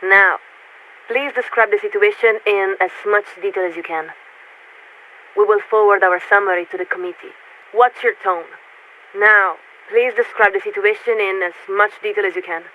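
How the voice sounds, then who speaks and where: An actress playing a formal, detached military warship radio officer in a video game, speaking in clipped official procedure through a radio filter. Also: female voice, mid-range voice, fairly even intonation, normal speed. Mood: formal, probing. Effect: radio transmission filter. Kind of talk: scripted video game voice acting. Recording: narrow, tinny radio link with static.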